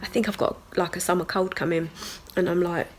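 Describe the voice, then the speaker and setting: A woman's voice: on the low side, steady tone, brisk, a little hiss or hum. Stuffy-nosed woman vlogging. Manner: chatty, rambling, lots of "like" and "so yeah". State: stuffy nose from a cold. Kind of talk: casual video diary chat. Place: at home and in the garden.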